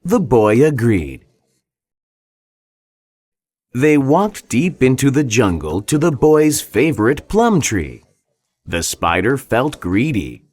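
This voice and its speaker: Slow, very lively male storybook narrator. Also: mid-range voice, husky. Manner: careful, expressive storytelling. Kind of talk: scripted children's read-along narration.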